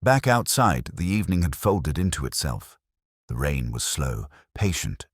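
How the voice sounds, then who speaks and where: A man playing a weary, cerebral police inspector, narrating a detective audio drama in a measured, brooding, literary way with a gravelly voice. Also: very deep voice, big pitch swings, no echo, studio quality, full character voice. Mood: brooding, uneasy.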